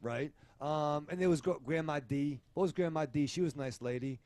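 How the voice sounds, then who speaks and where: Male podcast co-host, higher-pitched and lively, rambling and foul-mouthed casual chat, close dry recording. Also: a little fast, no room sound. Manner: rambling, crude, profane. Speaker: podcast co-host reviewing a film.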